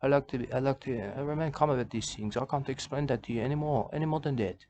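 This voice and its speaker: Man, mid-pitched, rambling comic commentator; repeats himself, broken grammar, faint hiss behind. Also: fast, a little mellow. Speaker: commentator reacting to a video.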